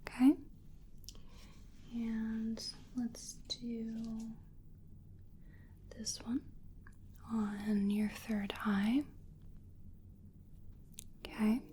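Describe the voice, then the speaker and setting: A woman doing soft-spoken ASMR roleplay as a crystal healer, breathy and mostly whispering, guiding a client in a soothing, repetitive way. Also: fairly high pitch, level tone, drawn-out words, long pauses, slight background noise.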